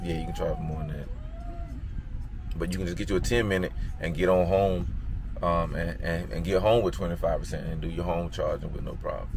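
A deep-voiced man talks easily inside a moving car. His speech is folksy and relaxed, full of slang, and clear road noise runs under his voice. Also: fairly quick. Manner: folksy, laid-back slang. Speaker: vlogger. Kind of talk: casual chat while driving.

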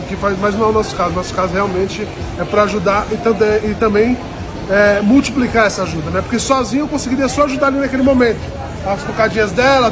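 A man's voice: high voice, background noise. A man in his thirties giving an earnest, preachy talk to viewers in an electronics store, filmed on a phone at arm's length. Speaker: charity vlogger. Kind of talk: motivational vlog talk. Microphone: phone held at arm's length. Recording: music and store crowd behind.

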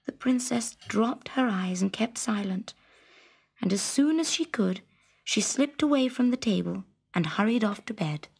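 Children's story narrator, woman: dramatic, breathy, unhurried, very animated intonation. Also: medium pitch.

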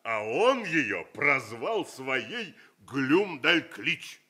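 An actor playing a practical, coaxing giant farmer in a radio play, with a respectful, folksy manner. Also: male voice, medium pitch, very animated, extremely slow, sharp tone, husky, heavily acted. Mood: hearty, proud. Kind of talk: Soviet radio play acting.

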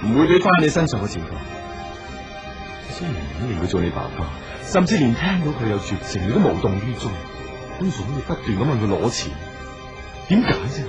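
A man's voice: medium pitch, sing-song, a little fast, close to an everyday voice. A man playing an earnest, persistent businessman in a radio drama. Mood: indignant. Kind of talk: Cantonese radio drama acting. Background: music.

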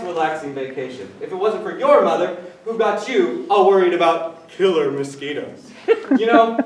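A young man playing an excitable, teasing husband in a sitcom-style stage play. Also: high voice. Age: young adult. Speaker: stage actor. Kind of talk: 1960s sitcom parody stage acting. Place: theater stage. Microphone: distant camera microphone. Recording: roomy, noisy distant stage recording.

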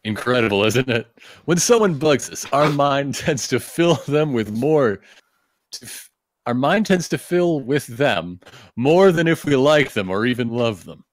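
A man's voice: medium pitch, lively intonation, measured pace, dry recording. A man reading aloud on a podcast, adding joking asides. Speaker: podcast reader.

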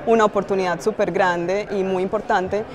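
A woman's voice: medium pitch, fairly even intonation, normal speed. A young Colombian woman giving a spontaneous interview answer as a teacher in a busy hall. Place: large indoor exhibition hall. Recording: busy expo hall crowd behind.

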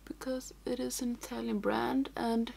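A woman's voice: fairly high pitch, flat delivery, normal speed, creaky, darkish tone. A young woman who speaks English as a second language, chatting through a makeup tutorial at home, rambling and thinking aloud, often dropping to a whisper as she works. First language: not English. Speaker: makeup vlogger. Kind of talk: casual tutorial chat. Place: small room at home with makeup shelves.

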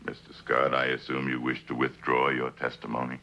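An actor playing a sardonic courtroom prosecutor in a 1960s television drama, clipped and cross-examining. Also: male voice, a bass voice, completely flat delivery, a little fast, vocal fry, sharp tone, full character voice. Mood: coolly formal. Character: forceful sardonic courtroom prosecutor grilling a witness.

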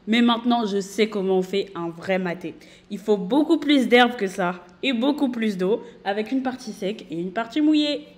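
A woman's voice: medium pitch, lively intonation, moderate pace, a little mellow, no room sound. A young woman talking casually to a phone camera outdoors, chatty and playful. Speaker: travel vlogger. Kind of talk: casual vlog talk to camera. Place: outdoors in nature. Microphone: phone at arm's length.

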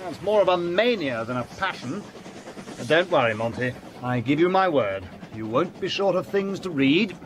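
An actor playing a hearty, pushy young English sporting student, jovial and brisk, in a radio drama. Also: male voice, fairly high pitch, lively intonation, noisy background, lightly acted. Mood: cheerful. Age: young adult.

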